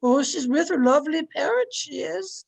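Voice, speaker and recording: Very high-voiced crusty old Scottish maid. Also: female voice, lively intonation, normal speed, gravelly, low-quality recording. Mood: tart, impudent. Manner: tart, impudent. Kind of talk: old-time radio drama acting. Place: at home, recorded over video call.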